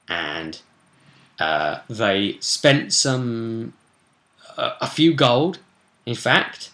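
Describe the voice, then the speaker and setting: A man's voice: medium pitch, fairly bright tone. A British man casually recapping a tabletop role-playing session as host and game master, in a chatty, rambling storytelling manner full of slang.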